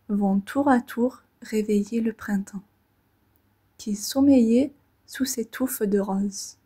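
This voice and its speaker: Woman reading poems real slow and soft, breathy almost whispery, mellow voice, little pauses between phrases. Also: a little high. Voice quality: soft and breathy, often fading toward a whisper. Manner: gentle, measured delivery in short phrases with pauses. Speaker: poetry reader. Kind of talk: slow, soft poetry reading in a relaxing, near-ASMR manner.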